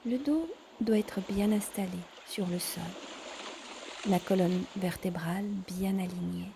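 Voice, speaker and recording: A woman teaching yoga in a calm guided instruction style, measured, pausing between short phrases. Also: medium pitch, creaky, noisy background.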